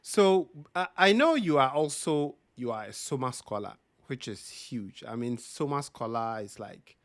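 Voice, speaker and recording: A man hosting an interview, speaking in a measured, thoughtful way with frequent fillers. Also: mid-range voice, lively intonation, no echo. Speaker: podcast host. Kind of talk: interview conversation.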